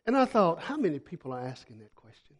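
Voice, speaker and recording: A man with a high-pitched voice preaches to a church congregation. He is a missionary who tells folksy stories and repeats phrases for emphasis. His delivery swings from loud to hushed. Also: big pitch swings, fast, husky. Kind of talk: sermon with storytelling.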